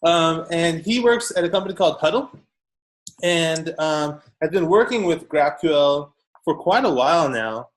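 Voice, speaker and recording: A man's voice: a little high, lively intonation, unhurried, a little hiss or hum. A man hosting a tech podcast, introducing a guest and asking questions in a casual, upbeat way with frequent uhs and ums. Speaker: podcast host. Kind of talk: podcast interview.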